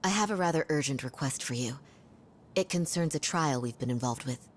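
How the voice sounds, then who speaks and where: An actress playing a composed, direct legal professional in a game drama dub, speaking in a crisp, businesslike manner. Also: female voice, low voice, animated, brisk. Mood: businesslike, urgent.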